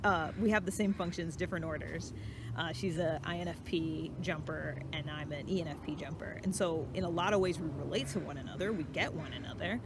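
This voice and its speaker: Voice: medium pitch, a little fast, noisy background. A channel host talking casually and reflectively in a solo vlog, thinking aloud in a conversational way.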